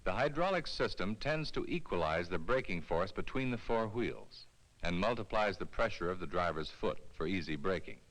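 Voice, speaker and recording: A man reading a scripted instructional film narration in a clear, measured, explanatory way, as on a 1970s educational film soundtrack. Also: sits low, lively intonation, a bit bright, rough recording.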